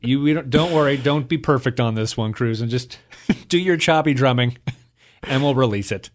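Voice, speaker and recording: A man's voice: medium pitch, normal speed. A man from Canada co-hosting a music podcast, rambling and restarting as he tells stories. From Alberta, Canada. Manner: rambling, repeats words, animated. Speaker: podcast co-host. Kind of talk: casual music chat.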